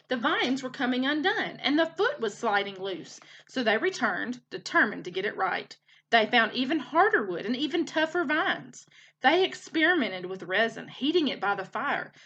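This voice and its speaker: A woman reading a children's story aloud as a teacher would, in an expressive storytelling style. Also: on the high side, highs cut off. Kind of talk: animated children's storybook narration.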